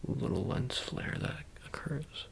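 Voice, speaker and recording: A man doing an ASMR roleplay, talking casually to the viewer in a halting, rambling, self-correcting way. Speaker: ASMR creator.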